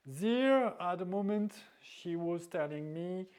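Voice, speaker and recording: Slow, halting man. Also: sits high, animated, a little mellow, smooth. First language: French. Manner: halting, searching for words, many restarts. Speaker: dating advice vlogger. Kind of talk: storytelling monologue.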